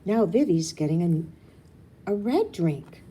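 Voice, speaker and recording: Woman playing young girl, storybook character, excitable, sighing and whining, soft-edged timbre, slight noise. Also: medium pitch, a little slow, pitched above the performer's own voice. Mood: excited. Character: excitable, whiny, gushing young girl, a little sister.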